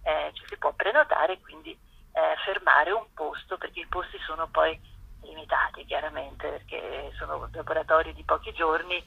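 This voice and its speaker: A woman's voice: low-pitched, fairly even intonation, moderate pace, creaky, slightly rough, dry recording. A woman speaking as a festival curator in a spontaneous radio phone interview, often searching for words. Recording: muffled, dull phone line.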